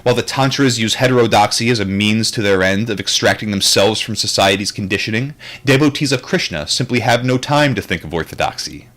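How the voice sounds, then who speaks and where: A man's voice: lowish voice, fairly quick, hardly any pauses, a bit bright. A man reading a book aloud and adding his own casual, rambling commentary, with wry and reflective asides.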